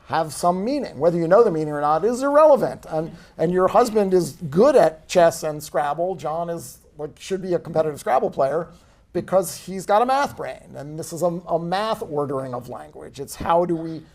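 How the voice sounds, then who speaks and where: A man in casual conversation at a bookstore author event. He talks loosely and self-deprecatingly, with a lot of fillers and quick asides. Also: a little high, lively intonation, darkish tone. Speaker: author being interviewed.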